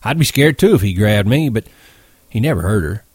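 Man acting a ranch hand, gruff, exasperated, protective, blunt folksy delivery, dry studio sound, audiobook drama. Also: fairly low pitch, animated, fairly quick, darkish tone, dry recording. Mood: animated recounting.

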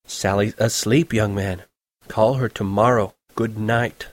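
A man's voice: on the low side, steady tone, measured pace, no room sound, natural voice. A man voicing a cold, stern elderly grandmother over the phone.

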